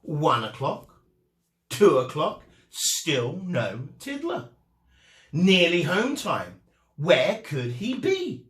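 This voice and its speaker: Guy reading a kids picture book, highish animated voice, takes his time, echoey room. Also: drawn-out words. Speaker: storytime reader. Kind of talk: expressive children's storybook narration.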